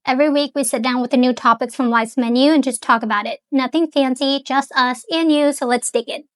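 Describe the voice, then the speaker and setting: A woman's voice: sits high, steady tone, a little fast, clear, resonant tone, dry recording, clean studio sound. A young woman co-hosting a podcast, chatty and upbeat as she introduces the topic. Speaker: podcast co-host. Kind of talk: casual chat.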